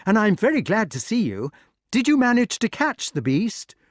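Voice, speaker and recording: Audiobook part: well-mannered, eager young lad, voiced by a man, pitched up and animated. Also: normal speed, no echo, clean studio sound. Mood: glad, eager. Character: polite, earnest young boy. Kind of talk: audiobook character voice.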